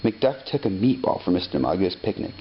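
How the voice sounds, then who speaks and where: Male storybook reader, deliberate phrasing, very varied intonation, dull recording with faint noise. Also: low voice, big pitch swings. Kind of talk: expressive scripted children's story narration.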